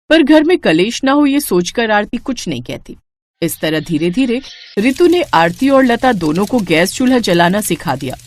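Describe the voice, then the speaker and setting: Animated woman narrating a storybook. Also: mid-range voice.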